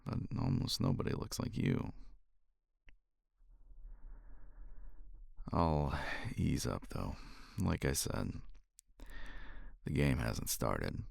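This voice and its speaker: A man's voice: a bass voice, big pitch swings, takes it slowly, creaky, darkish tone, no room sound, clean studio sound, exaggerated voice. A man playing a cocky, weary champion competitor in an intimate romance ASMR roleplay, flirtatious and teasing, with languid sighs and murmured asides. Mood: teasing, confident.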